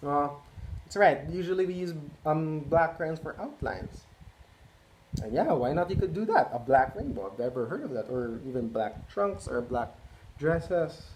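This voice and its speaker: A man's voice: a little high, animated, moderate pace. A man reading a picture book aloud, chatting and asking listeners questions. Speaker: read-aloud host. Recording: echoey room, faint noise.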